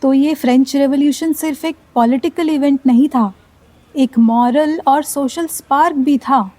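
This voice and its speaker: A curious young female student in a radio drama, reflective and full of admiration. She speaks slowly in a high, mellow voice. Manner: inquisitive, reflective. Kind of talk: scripted educational radio play dialogue.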